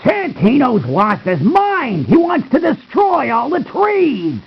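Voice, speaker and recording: An actor playing a brash, excitable mercenary henchman in a video game. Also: takes it slowly, slightly rough, heavily acted. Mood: agitated. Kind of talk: video game character voice acting. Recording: muffled low-fidelity game audio, faint noise.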